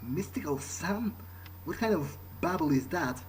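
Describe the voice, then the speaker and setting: A man role-playing a rueful, bewildered new vampire in a video game, thinking aloud in a halting, rambling way with dry sarcasm. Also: a little high, animated, slight background noise, rough recording. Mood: dry, puzzled. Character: rueful, bewildered newly turned young male vampire. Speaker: gaming role-player. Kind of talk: in-character improvised game monologue, thinking aloud.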